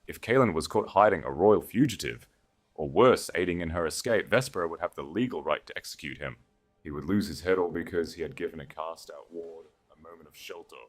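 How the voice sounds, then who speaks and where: A man's voice: low-pitched, expressive, fairly quick. A man reading a scripted romance audiobook in a solemn, dramatic way. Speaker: narrator.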